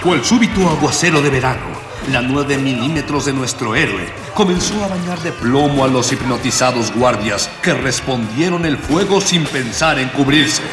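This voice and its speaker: A man's voice: medium pitch, animated, moderate pace, hardly any pauses. A man narrating a radio serial in a pompous, mock-epic manner. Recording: echoey long reverb over music.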